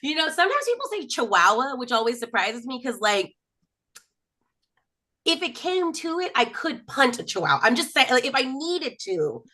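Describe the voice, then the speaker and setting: A woman's voice: high voice, moderate pace. A woman from Texas doing a self-mocking stand-up comedy set on a video call. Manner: chatty, self-mocking, lively storytelling.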